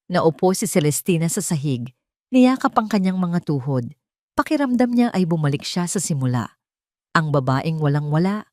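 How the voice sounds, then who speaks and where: A woman storyteller delivers scripted, dramatic narration. Her voice sits a little low. The recording is very dry, with no room sound. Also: darkish tone, no room sound.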